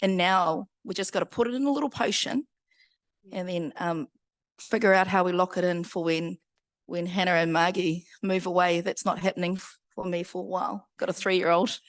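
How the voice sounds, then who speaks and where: A woman's voice: lowish voice, steady tone, normal speed, no room sound. A woman, a school board co-chair, confidently summing up in a lecture Q&A. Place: parish hall.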